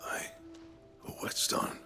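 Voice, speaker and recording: An actor playing a gruff, proud older blacksmith in a video game, with a blunt, wry, colloquial manner. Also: male voice, takes it slowly, over music, lightly acted. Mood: wry, sceptical. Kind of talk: video game cutscene acting.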